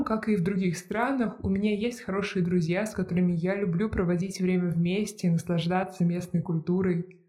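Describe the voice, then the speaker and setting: A reader giving a scripted narration of a personal essay in a measured, even delivery. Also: medium pitch.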